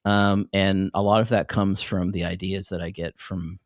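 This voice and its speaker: A man's voice: deep voice, flat delivery, normal speed, dry recording, lo-fi sound. A man, middle-aged, talking casually on a podcast and explaining things in an enthusiastic, rambling way. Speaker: podcast host and fiction writer.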